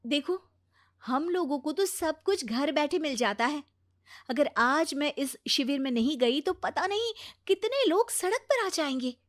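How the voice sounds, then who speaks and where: A woman voicing a busy, self-important young daughter-in-law in a cartoon story, hurried and dramatic. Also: high-pitched, lively intonation, pitched above the performer's own voice. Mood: hurried, self-righteous.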